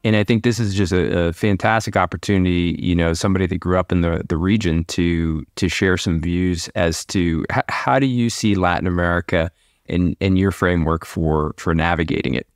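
A man's voice: deep voice, moderate pace, smooth, dry recording, clean studio sound. A man hosting a podcast, asking a guest a question in a conversational way. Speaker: podcast host. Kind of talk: interview question.

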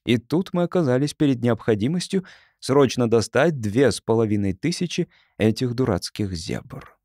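A man's voice: mid-range voice, lively intonation, moderate pace, darkish tone, no echo, studio quality. A man reading a first-person story as an audiobook narrator in a scripted, storytelling style.

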